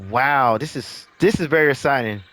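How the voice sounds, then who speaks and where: A man hosting a gaming channel, giving casual update commentary in a halting way, repeating phrases as he addresses viewers encouragingly. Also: mid-range voice, a bit bright, a little hiss or hum, rough recording.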